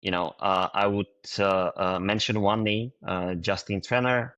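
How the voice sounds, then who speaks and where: A man from Bulgaria, a startup founder answering interview questions in a halting way, with frequent restarts and fillers. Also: sits low, steady tone, fairly bright tone, no echo, dull audio.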